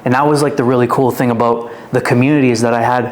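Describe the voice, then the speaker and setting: A man's voice: mid-range voice, fairly even intonation, quick pace. Rambling young man telling his story. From Canada. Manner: rambling, filler-heavy storytelling with many "like"s. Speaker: guest, fitness influencer. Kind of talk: casual storytelling interview.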